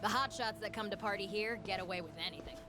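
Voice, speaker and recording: A woman's voice: medium pitch, fast, music in the background, natural voice. An actress voicing a cheeky, upbeat, streetwise young woman fixer in a video game, chatty and slangy. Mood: matter-of-fact, explaining. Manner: slangy, breezy, expressive. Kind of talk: English video game voice acting.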